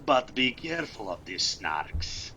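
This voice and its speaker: A man playing a drunk, gruff, suspicious cat-man town guard in a fantasy video game. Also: on the low side, big pitch swings, measured pace, bright, forceful tone, slight background noise, full character voice. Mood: mock-stern.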